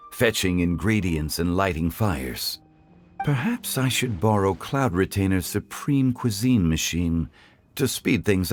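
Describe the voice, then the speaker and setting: A man voicing a calm, wise, ancient gentleman in a video game, speaking in a measured, formal, reflective way. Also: deep voice. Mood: composed, genial. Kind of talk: English video game voice acting.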